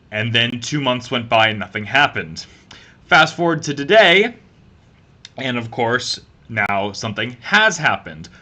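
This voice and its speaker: A man's voice: fairly low pitch, bright, forceful tone. A man giving an opinionated, sarcastic political commentary rant. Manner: sarcastic, fast-talking.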